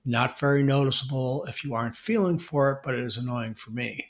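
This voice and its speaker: A man co-hosting a podcast, answering a listener question in a relaxed, explanatory way with frequent restarts. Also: mid-range voice, brisk. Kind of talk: casual podcast chat.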